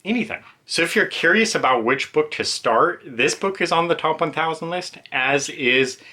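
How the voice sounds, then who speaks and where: A man's voice: on the high side, animated, normal speed, sharp tone. A man giving a casual, unscripted book review in a conversational, slightly hesitant and self-deprecating way.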